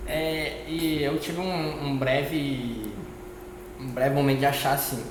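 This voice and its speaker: A man's voice: mid-range voice, fairly even intonation, takes it slowly. A man hosting an interview, chatting in a casual, jokey, teasing way. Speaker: host. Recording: very echoey room, long reverb, noisy.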